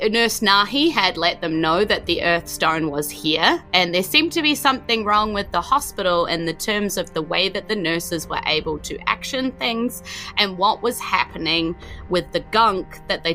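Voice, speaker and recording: Bright New Zealand woman game master. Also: mid-range voice, low-quality recording. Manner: conversational, with fillers and self-corrections. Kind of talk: narrating a tabletop game recap.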